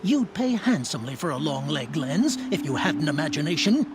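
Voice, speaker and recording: A man's voice: fairly high pitch, sing-song, a little fast, background noise. An actor playing a snooty, fussy male merchant with refined pretensions, pompous and disdainful, in a video game. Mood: indignant.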